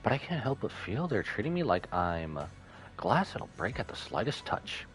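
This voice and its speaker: A man's voice: lowish voice, big pitch swings, normal speed, low-quality recording. A man reading the lines of an earnest, grateful, self-doubting young woman from an anime game. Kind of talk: reading game dialogue aloud. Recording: game music behind voice.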